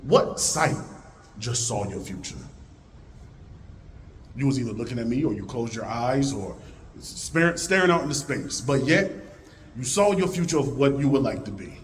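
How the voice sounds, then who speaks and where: A man with a deep voice speaks to a live conference audience. His motivational talk is animated and swings from loud calls to the crowd to hushed, low tones. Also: normal speed, long pauses, slight background noise. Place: conference hall.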